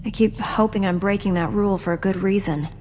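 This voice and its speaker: An actress playing a principled, composed female knight in a sci-fi video game, measured and earnest. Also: mid-range voice, fairly even intonation, a little mellow, big-room sound, soft background music, close to an everyday voice. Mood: earnest, wounded. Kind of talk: video game dialogue acting.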